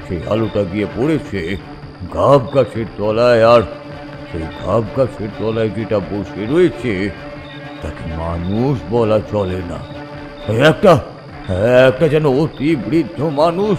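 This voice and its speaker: An actor playing an older village man who recounts an eerie tale from his youth in a suspenseful, deliberate manner with dramatic pauses. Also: medium pitch, darkish tone, rough recording. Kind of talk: dramatic horror audio story narration.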